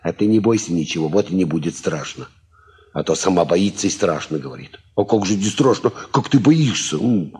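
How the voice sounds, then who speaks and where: Grumbling old peasant, wildly expressive. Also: male voice, low voice, moderate pace. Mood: grumbling, soothing. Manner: folksy, grumbling, muttering to himself. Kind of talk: Soviet radio drama acting.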